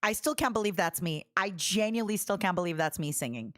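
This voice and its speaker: A woman's voice: fairly low pitch, fairly quick, fairly bright tone. A woman from Ukraine with a Russian accent, streaming a casual reaction, giggly and self-deprecating, now and then squealing in delight.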